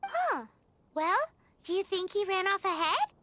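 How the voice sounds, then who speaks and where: Tiny fairy sidekick, chatty, talks about herself in third person, very high voice, singsong. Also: female voice, big pitch swings, a little slow, pushed and bright, dry recording, heavily acted. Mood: chirpy curious. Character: tiny floating fairy companion girl, chirpy and chatty. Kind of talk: video game dialogue.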